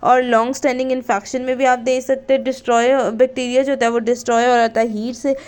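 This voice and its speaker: A woman with a high voice delivers a study lesson. She reads from her notes, then restates each point in simpler words. She mixes in English technical terms and keeps checking that the listener follows. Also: level tone, quick pace, darkish tone, clear, resonant tone. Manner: code-switches between Hindi and English terms; repeats and rephrases points, checking with "okay". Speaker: teacher of physiotherapy students. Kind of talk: explanatory lecture, reading out notes then explaining.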